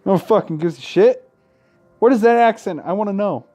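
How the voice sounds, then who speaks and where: A man's voice: high-pitched, moderate pace, warm tone, faint music behind. A man hosting a gaming video, reading visual novel text aloud and adding casual, irreverent commentary. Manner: irreverent, sweary heckling between read passages.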